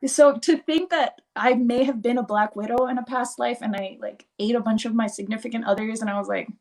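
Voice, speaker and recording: Higher-voiced woman, rambling chat. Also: a little mellow. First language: Spanish. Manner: fast, rambling explanations with many "like"s. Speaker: guest. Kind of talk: casual livestream chat.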